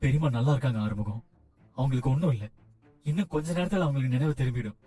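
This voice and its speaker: A man's voice: medium pitch, lively intonation, very fast, dark tone, slightly rough, faint music behind. An actor in a TV serial playing a worried young male doctor who comforts his family. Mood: comforting. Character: anxious young male doctor, caring nephew. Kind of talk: TV serial acting.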